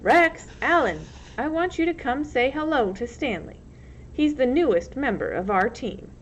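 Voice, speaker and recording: A woman's voice: sits high, animated, normal speed, pitched above the performer's own voice. A woman voicing an earnest, patronizing, encouraging male camp counselor in a story reading. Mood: friendly, businesslike. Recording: muffled lo-fi recording, loud background noise.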